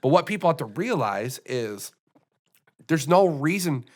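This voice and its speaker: A man's voice: on the high side, big pitch swings, unhurried. Rambling American man, casual podcast chat. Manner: rambling, many restarts and fillers. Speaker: podcast co-host.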